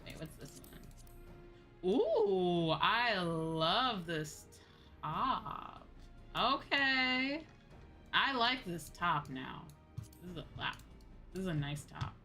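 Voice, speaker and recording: A woman livestreaming a game in casual, excitable chatter, often exclaiming as she talks. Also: lowish voice, takes it slowly, faint music behind.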